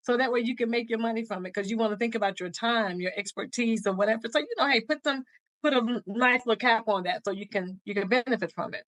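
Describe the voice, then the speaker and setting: A woman's voice: on the high side, fast talker, fairly bright tone, no echo. Woman co-host with a dry, close sound. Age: middle-aged. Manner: addresses listeners directly, encouraging. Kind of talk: casual conversational advice podcast.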